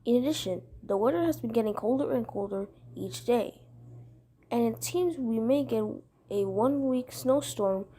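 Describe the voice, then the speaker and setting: A woman's voice: a little high, normal speed, mellow, husky. A woman playing a weather forecaster, reading a matter-of-fact scripted bulletin.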